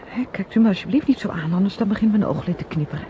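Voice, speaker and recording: An actress plays a young niece in a radio drama, guarded and uneasy. Her medium-pitched voice moves up and down a lot as she hesitantly deflects. The old recording sounds dull, with faint noise. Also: expressive, racing, close to an everyday voice. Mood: uneasy, defensive. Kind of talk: radio play dialogue. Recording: muffled, slightly noisy old radio play recording.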